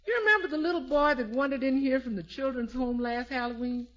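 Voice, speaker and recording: An actress playing a hearty, good-natured housekeeper, folksy and emphatic, in 1950s radio comedy. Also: female voice, high-pitched, fairly quick, lightly acted. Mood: thoughtful. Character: hearty, good-natured female housekeeper and cook, repeats herself gleefully. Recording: muffled vintage 1950s radio recording.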